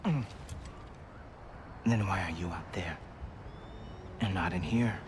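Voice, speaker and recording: A man playing a haughty, menacing god who guards a wall in a video game, speaking with sardonic, condescending deliberateness. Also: low voice, noisy background. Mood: mocking. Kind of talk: theatrical video game acting.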